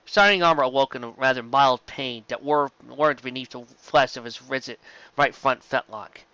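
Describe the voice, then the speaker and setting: A man's voice: medium pitch, normal speed, pushed and bright, clear, resonant tone, dry recording, lo-fi sound. A man narrating a scripted piece of fan fiction as an amateur reader, now and then stumbling over words and rereading them.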